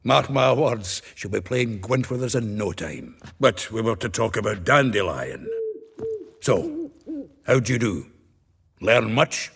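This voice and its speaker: An actor playing a hearty, blunt dwarf in a fantasy game, a raspy voice and a jovial, colloquial manner. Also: male voice, on the low side, lively intonation, takes it slowly, a bit bright, exaggerated voice. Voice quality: gravelly, raspy. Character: hearty, blunt, earthy male dwarf friend. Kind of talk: fantasy video game dialogue.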